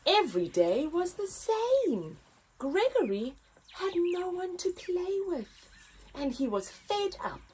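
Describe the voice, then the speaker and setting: Very animated, slow-paced woman storyteller. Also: very high-pitched. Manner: expressive, dramatic emphasis. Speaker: children's story time host. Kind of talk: read-aloud storytelling for young children.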